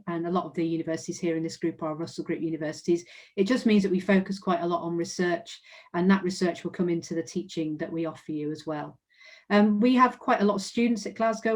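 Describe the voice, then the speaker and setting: A woman with an English accent speaks in a slightly low register as she walks through an online presentation on her university. She is friendly and informative and fills her pauses with "um". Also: darkish tone. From England. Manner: friendly, informative, frequent "um" fillers. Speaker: university representative.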